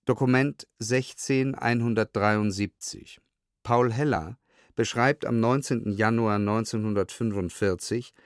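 A man's voice: medium pitch, no room sound. Dry, sober male documentary narrator. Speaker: narrator reading historical documents. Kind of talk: scripted documentary audiobook narration.

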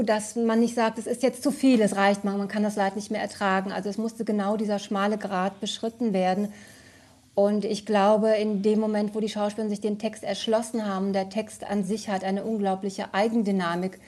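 A woman's voice: medium pitch, mellow. Female director as interview guest, mid-range voice, reflective, feeling her way through each thought.

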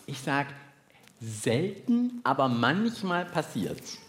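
A man co-hosting a live science podcast for children, chatting in an informal, animated way. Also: medium pitch, takes it slowly. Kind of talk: casual chat on a live children's show. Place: indoor live podcast festival stage. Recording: echoey live venue, faint noise.

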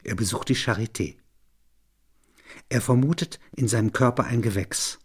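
A man reading scripted literary narration in a measured, sober way, phrase by phrase. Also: mid-range voice, husky.

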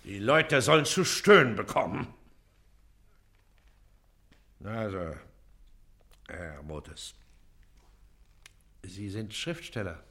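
An actor playing a pompous, self-important Prussian magistrate in a stage comedy, haughty and given to stalling fillers. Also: male voice, on the low side, lively intonation, takes it slowly, vocal fry, pauses often, bright, forceful tone, heavily acted. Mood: officious, probing. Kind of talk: theatrical stage comedy acting.

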